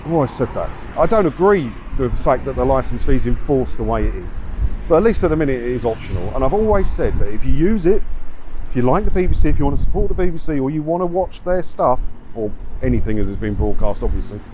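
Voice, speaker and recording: A man's voice: medium pitch, big pitch swings, quick pace, dark tone, poor audio. Man chatting outdoors over street noise. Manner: conversational, repeats points for emphasis, rhetorical tag questions. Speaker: vlogger. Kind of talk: casual chat to viewers, reading out and answering comments. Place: outdoors, walking around a seaside town. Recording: outdoor wind and traffic noise on mic. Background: wind, passing cars, street ambience.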